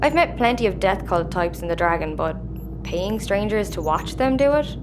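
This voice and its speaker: A woman playing a dry, sharp-witted young crew member in a sci-fi audio comedy. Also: medium pitch, animated, moderate pace, music in the background, close to an everyday voice. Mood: dry, sceptical. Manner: dry, casual, understated.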